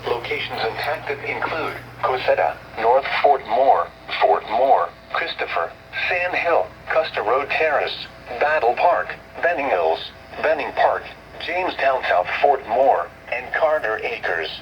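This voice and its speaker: A man with an American accent reads formal scripted weather bulletins in an impersonal, measured way over radio. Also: medium pitch, sing-song, distant mic, lo-fi sound. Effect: radio broadcast. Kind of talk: formal scripted warning and information broadcast. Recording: noisy, humming weather radio picked up from afar, roomy.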